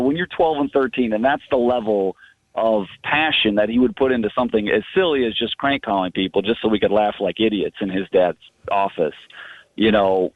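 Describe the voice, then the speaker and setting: A man's voice: fairly low pitch, no room sound. A man phoning in to a radio show, casually reminiscing in a rambling way with lots of "you know" asides. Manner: rambling, frequent 'you know' asides. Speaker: phone-in guest sharing memories. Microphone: telephone. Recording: muffled phone line on radio.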